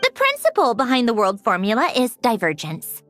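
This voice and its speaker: A woman playing a proud, aloof, sharp-tongued young genius in a video game, with a dry, lecturing manner. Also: on the high side, wildly swooping pitch, moderate pace. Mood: smug, lecturing. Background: background music.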